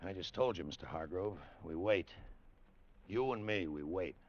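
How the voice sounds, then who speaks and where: A stern Army colonel in a vintage radio drama. His voice is low, terse and commanding, refusing to give in. The recording sounds dull and old. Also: expressive, normal speed, heavy background noise. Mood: firm, tense. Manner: terse, clipped, authoritative.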